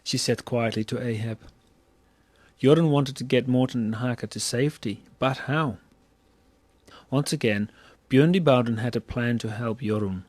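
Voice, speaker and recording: Dry-sounding male storyteller, mid-pitched. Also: moderate pace, long pauses, darkish tone, no echo. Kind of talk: scripted story narration.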